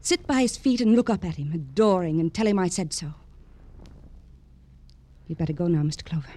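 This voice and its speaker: Woman acting a low, mellow, scornful woman. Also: lively intonation, fairly quick, a little hiss or hum, natural voice. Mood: bitter, intense. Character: cool, bitter woman magazine illustrator, articulate and scornful. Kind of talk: 1940s-50s radio drama acting.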